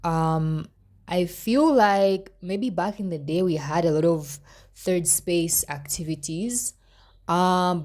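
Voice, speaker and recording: A young woman from Zambia, chatting casually to camera in her vlog, expressive and pleading at times. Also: fairly low pitch. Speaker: vlogger.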